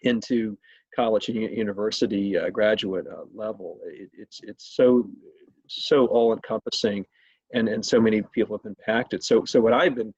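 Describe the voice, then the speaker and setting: A man hosts a conversational interview in a husky, fairly low voice. He speaks haltingly, doubling back on words as he forms questions. The sound is a little muffled, like a video call. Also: fairly even intonation, darkish tone, no echo. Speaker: state legislator hosting an interview.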